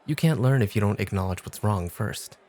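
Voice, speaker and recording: A man playing a cool, exacting off-duty club host who teases and challenges in a measured way, in an ASMR roleplay. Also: fairly low pitch, lively intonation, darkish tone, close to an everyday voice. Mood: stern, teasing. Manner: challenging, measured, coolly teasing.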